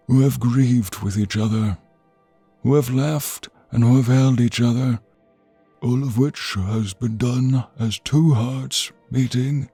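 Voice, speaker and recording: A man playing a solemn archbishop in a fantasy audio drama, with a husky, creaky voice and measured, ceremonial phrasing. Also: mid-range voice, warm tone, no echo, exaggerated voice. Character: solemn old-fashioned archbishop officiating a royal wedding. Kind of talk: fantasy audio roleplay acting.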